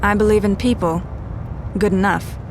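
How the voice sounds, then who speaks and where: An actress playing a sharp-eyed, no-nonsense female detective in a noir audio drama, deadpan and sardonic. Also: medium pitch, moderate pace, background noise, natural voice. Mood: guarded, dry. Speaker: detective and narrator. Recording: music behind the voice.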